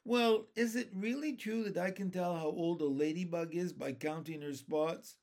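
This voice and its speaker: Man playing an earnest, high-voiced young boy. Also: normal speed, darkish tone. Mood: curious. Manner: earnest, wondering. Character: polite, curious, kindly young boy.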